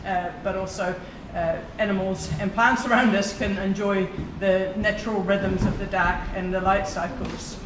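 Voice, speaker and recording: A woman professor makes an earnest case from the floor of a conference, with many hesitant ums and uhs. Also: mid-range voice. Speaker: professor and researcher. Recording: distant echoey hall with background noise.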